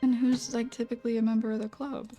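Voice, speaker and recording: A woman giving cozy, quiet gameplay commentary, softly musing and hesitant. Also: fairly high pitch, little pitch movement, fast, faint music behind. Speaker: game streamer commentator.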